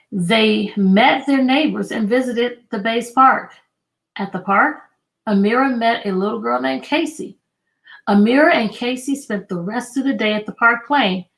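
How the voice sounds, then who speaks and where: Woman teacher, fairly high voice, expressive read-aloud, deliberate phrasing, prompting questions. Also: gravelly, low-quality recording. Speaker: teacher reading a picture book aloud.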